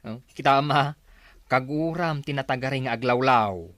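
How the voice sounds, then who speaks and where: A man's voice: medium pitch, moderate pace, fairly bright tone, no room sound, natural voice. A man playing a teasing, needling older male relative in an Ilocano comedy drama, with a Filipino Ilocano accent. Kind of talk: Ilocano radio drama acting.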